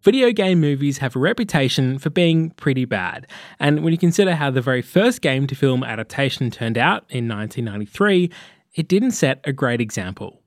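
A man's voice: medium pitch, expressive, brisk. Man hosting a podcast interview. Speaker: host interviewer. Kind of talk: podcast interview and scripted narration.

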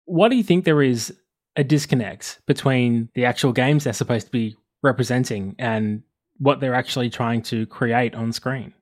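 A man's voice: mid-range voice, moderate pace, no room sound, studio quality. A man presenting as host, moving between scripted narration and interview questions.

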